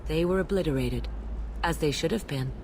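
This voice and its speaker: A solemn female alien messenger from a sci-fi game, with a notably low voice. She is earnest and calm, with a reverent devotion in her delivery. Faint ambient noise sits behind her. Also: normal speed, rough recording. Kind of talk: scripted video game dialogue.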